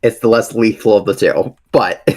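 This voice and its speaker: A man's voice: on the low side, normal speed, darkish tone. A man playing a tabletop game, casually explaining rules while hesitantly thinking aloud.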